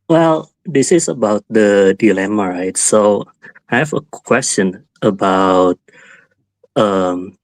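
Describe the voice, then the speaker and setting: A man's voice: lowish voice, dry recording, poor audio. Hesitant Indonesian-accented male caller. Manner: hesitant, apologetic, searching for words. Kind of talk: call-in question.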